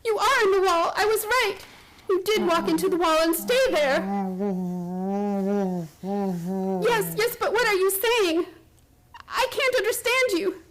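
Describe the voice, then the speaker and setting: A woman's voice: very high voice, moderate pace, crowd noise. An actress playing an obsessed, frantic woman hospital patient who tells her strange story in a radio play, intense and theatrical. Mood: pleading, urgent. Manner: intense, haunted, urgent, theatrical. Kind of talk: radio play acting, first-person dramatic narration.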